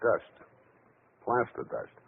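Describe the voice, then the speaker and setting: An American actor playing a wry, hard-boiled male private detective in 1940s radio style, dry, wisecracking and unhurried. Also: close to an everyday voice. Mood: brisk, searching. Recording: tinny, noisy 1940s radio recording.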